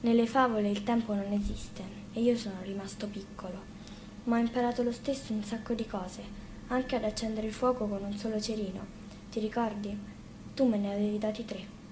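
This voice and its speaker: An earnest little boy from a fairy tale speaks pleadingly to his family, voiced by a woman storyteller. The pitch is a middle one for a woman. Slight noise sits under the storybook reading. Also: flat delivery, brisk, lightly acted. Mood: earnest confession. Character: small lost boy, earnest, long away from home. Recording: faint noise, live radio broadcast.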